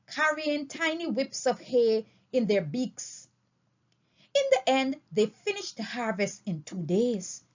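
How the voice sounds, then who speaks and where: A woman reading a story aloud in an expressive, deliberate and emphatic way. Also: fairly high pitch. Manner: emphatic, deliberate phrasing with dramatic swings. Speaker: audiobook reader. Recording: dull, lo-fi recording.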